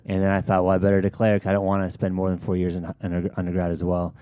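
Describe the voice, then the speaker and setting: A man hosting a church podcast in casual chat, relaxed and self-deprecating. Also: low voice, racing, clean tone, no echo. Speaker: podcast host. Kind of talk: casual discussion.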